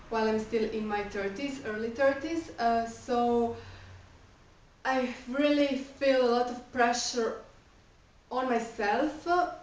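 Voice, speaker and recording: A woman's voice: a little high, darkish tone, dull audio, slight background noise. A woman in her thirties, a non-native English speaker whose first language is Croatian, answering viewer questions in a chatty vlog, with many fillers. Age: 30s. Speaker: vlogger. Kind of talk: casual Q&A vlog. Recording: echoey room, mic a bit far off.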